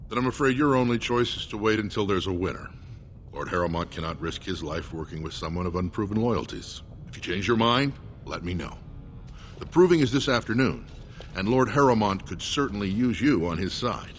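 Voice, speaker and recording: Man playing a deep-voiced formal dwarf noble. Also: animated, a little hiss or hum, close to an everyday voice. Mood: firm, courteous. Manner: measured, formal, diplomatic. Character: formal male dwarf noble's second-in-command, guarded, courteous. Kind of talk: scripted video game dialogue.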